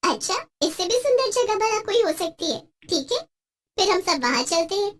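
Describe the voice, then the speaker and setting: A protective cartoon schoolteacher, voiced by a woman, with a very high, bright voice. She speaks as if to a class of children. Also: expressive, normal speed, a little hiss or hum. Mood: cheerful. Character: cheerful female schoolteacher, protective of her pupils. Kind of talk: cartoon story voice.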